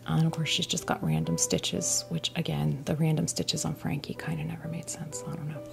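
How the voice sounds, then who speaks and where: A woman hosting an ASMR doll unboxing, chatting casually and softly to camera, gushing over small details in an unhurried way. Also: deep voice, very animated, creaky, over music.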